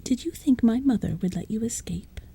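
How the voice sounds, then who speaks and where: A woman's voice: fairly high pitch, expressive, a little fast, creaky, warm tone, a little hiss or hum. A woman whispering the part of a haughty young warrior princess, cool and mocking, in a storybook reading.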